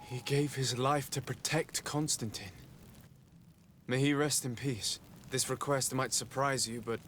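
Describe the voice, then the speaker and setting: An actor playing a composed, diplomatic envoy in a video game, formal, measured and earnest. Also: male voice, mid-range voice, steady tone, a bit bright, slight background noise, natural voice. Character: composed, diplomatic young male envoy seeking his lost cousin. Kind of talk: video game cutscene acting.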